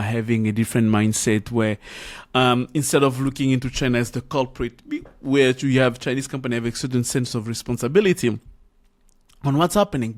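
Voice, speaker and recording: A man hosting a reflective conversation, often pausing and restarting as he searches for the right words. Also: mid-range voice. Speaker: host.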